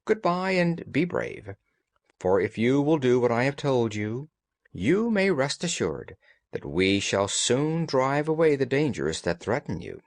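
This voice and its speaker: A man voicing a commanding, analytical gentleman detective in an audiobook, speaking precisely and formally. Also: medium pitch, big pitch swings, unhurried, dry recording. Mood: measured, reassuring. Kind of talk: audiobook character dialogue.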